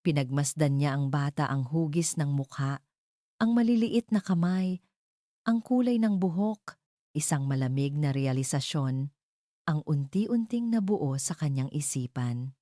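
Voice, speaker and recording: A woman's voice: deep voice, expressive, unhurried, warm tone, no echo, clean studio sound. A woman narrating a romance story in a scripted, dramatic storytelling style.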